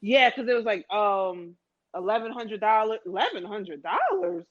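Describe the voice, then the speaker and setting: A woman's voice: on the high side, very animated, moderate pace. A woman in a casual, conversational travel chat with a friend, often repeating phrases for emphasis. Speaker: podcast speaker. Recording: dull lo-fi sound.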